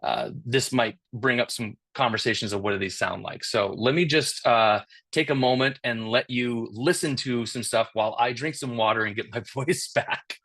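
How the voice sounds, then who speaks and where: A middle-aged man presenting a webinar as a creative director. His voice sits at a middling pitch and is getting worn, as he is losing it. He talks casually, with frequent pauses and restarts. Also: a bit bright, slightly rough. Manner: casual, many fillers and restarts. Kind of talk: conference talk.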